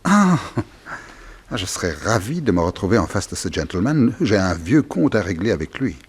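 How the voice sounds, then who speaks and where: An actor playing a keen, wry investigator with a brisk manner in a 1960s French radio crime serial. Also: male voice, fairly low pitch, big pitch swings, slightly rough.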